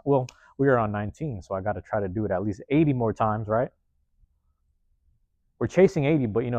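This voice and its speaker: A man hosting a podcast from a university room, giving a loose, slangy motivational monologue with lots of repetition, his voice getting a bit hoarse. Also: mid-range voice, a little mellow, no room sound. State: voice going hoarse. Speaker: podcast host.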